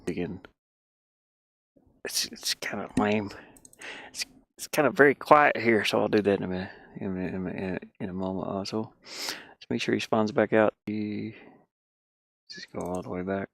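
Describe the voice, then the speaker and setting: A man with a fairly low voice and a gravelly fry talks his way through a task on screen. His delivery is casual and halting, often muttered to himself, and the sound is close and dry. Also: fairly bright tone, no room sound. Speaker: hobby game developer. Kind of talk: casual think-aloud screen-recording commentary.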